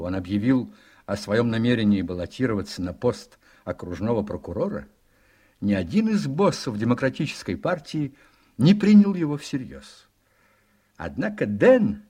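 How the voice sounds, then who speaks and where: An actor narrating a political radio drama in an expressive storytelling manner with measured phrasing. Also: male voice, medium pitch, breathy edge.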